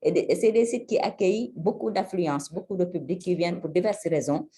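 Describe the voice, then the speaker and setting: Woman, from senegal, low pitch, mellow, webinar presentation, hesitant. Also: expressive. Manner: measured, with frequent hesitations. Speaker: energy agency presenter. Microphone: video call.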